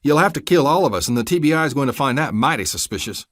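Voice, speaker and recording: A man voicing the first-person hero, talking loudly and pleading. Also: medium pitch, lively intonation, quick pace, no room sound, studio quality, pitched above the performer's own voice, lightly acted. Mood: defiant. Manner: loud, pleading. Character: first-person male hero, stalling a killer, then panicking.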